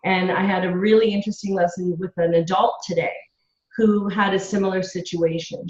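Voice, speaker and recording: Canadian woman teacher, eager and chatty; roomy, dull video-call sound. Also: mid-range voice. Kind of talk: casual chat in an online seminar.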